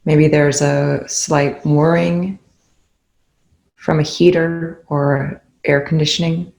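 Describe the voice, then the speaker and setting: A meditation instructor leading a guided session in a soothing, gentle, unhurried way with long pauses. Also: mid-range voice, flat delivery, creaky, roomy sound, poor audio.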